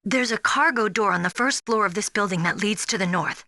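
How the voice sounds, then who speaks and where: A spirited young woman soldier from a video game, eager to prove herself. Her voice is bright and mid-pitched, recorded very dry, with a frank and expressive delivery. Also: fairly quick, no room sound. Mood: concerned, alert. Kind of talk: dramatic video game voice acting.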